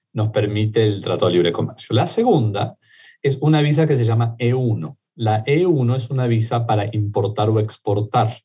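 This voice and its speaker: A man's voice: medium pitch, warm tone, husky, dull audio. A middle-aged man with an Argentine accent, a guest who explains methodically in an interview, with frequent fillers and restarts. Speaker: immigration lawyer guest.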